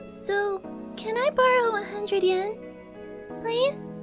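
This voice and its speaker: A woman voicing a sweet, airheaded anime girl in a cutesy, childlike way for a visual novel fan dub. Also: very high voice. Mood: pleading. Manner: cutesy, slow, pleading. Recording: music bed under the voice. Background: background music.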